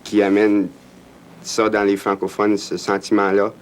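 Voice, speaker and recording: Low, flat-voiced man. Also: rough recording. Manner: halting, earnest, many restarts. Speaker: francophone interviewee. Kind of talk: interview.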